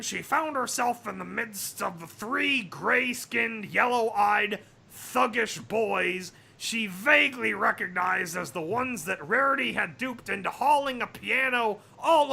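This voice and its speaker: High-pitched, bright, creaky man reading aloud. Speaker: livestream host. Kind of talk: comic story read-aloud with casual asides.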